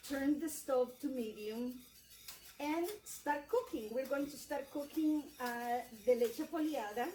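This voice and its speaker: A woman's voice: high-pitched, measured pace, warm tone, reverberant, recorded from a distance, a little hiss or hum, low-quality recording. A middle-aged woman from El Salvador, a cookbook author teaching a cooking class with enthusiastic explanations and some fillers. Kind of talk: cooking demonstration.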